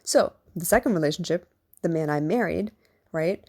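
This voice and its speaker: A woman with a deep, husky voice talks through her own experiences on a solo podcast. Her pitch sits on the low side, and her delivery is earnest and reflective, loose and conversational. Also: expressive, normal speed, warm tone. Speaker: podcast host and relationship coach.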